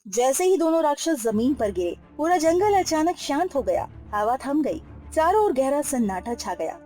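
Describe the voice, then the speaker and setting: Female narrator, dramatic scripted storytelling, animated intonation, music underneath. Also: high voice, normal speed.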